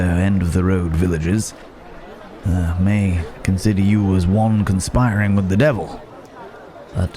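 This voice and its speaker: Courteous werewolf in human guise, played by a man, for ASMR roleplay: deep, unhurried, wary and wordy. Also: darkish tone. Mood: wary, questioning. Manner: hesitant, musing, old-fashioned wordy phrasing. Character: courteous city-bred man secretly a werewolf, thoughtful, wary. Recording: market crowd and outdoor ambience noise. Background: bustling village market ambience.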